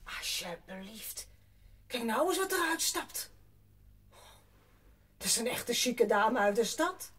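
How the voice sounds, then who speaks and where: A woman's voice: on the high side, very animated, slow, pauses often, a bit bright, husky, full character voice. An actress playing a plain village mother in a radio play, chatting. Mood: curious. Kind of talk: Dutch radio play acting.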